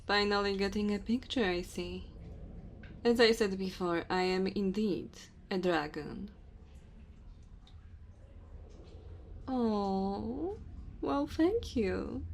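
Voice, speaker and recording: A woman voicing a dominant, teasing female dragon in an ASMR roleplay, speaking slowly and theatrically to the listener. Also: medium pitch. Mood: amused, proud. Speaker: ASMR voice performer. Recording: faint cave ambience noise. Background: cave and fire sound effects.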